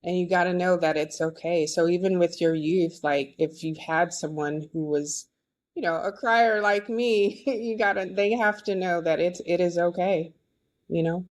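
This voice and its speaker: A woman's voice: lowish voice, normal speed, no echo. Affirming woman podcast host. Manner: affirming, enthusiastic listener, many yeses. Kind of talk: casual interview conversation.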